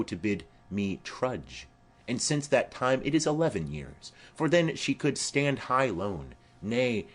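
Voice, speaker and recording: A man voicing a garrulous, fond old female nurse in a Shakespeare play, rambling and exclamatory. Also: lowish voice. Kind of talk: amateur-style Shakespeare play reading.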